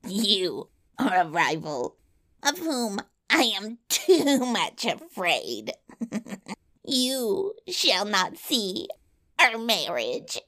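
A woman voicing a gloating, wicked little yellow dwarf in a storybook tale, with a slow delivery broken by cackles. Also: mid-range voice, huge pitch swings, exaggerated voice. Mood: mocking, cackling. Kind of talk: storybook villain voice.

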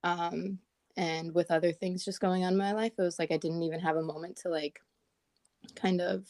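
A woman with a raspy morning voice chatting casually as a podcast co-host, talking with lots of "like" and "um". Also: lowish voice, flat delivery, moderate pace, a little mellow, no echo. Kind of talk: casual chat. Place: at home in Portland.

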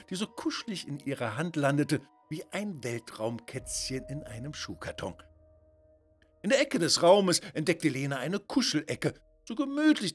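A man narrating a children's audio story from a script in a playful, expressive, theatrical way. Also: a little high, breathy edge.

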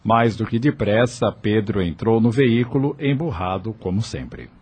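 Low-voiced male radio drama narrator. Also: expressive, unhurried, darkish tone, breathy edge. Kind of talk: scripted narration.

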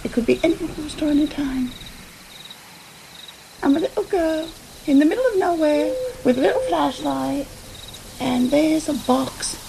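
Woman playing a cheeky, squeaky little girl. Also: normal speed, creaky, pauses often, dark tone, a little hiss or hum, rough recording. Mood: nervous, curious. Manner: giggly, breathless, sweary.

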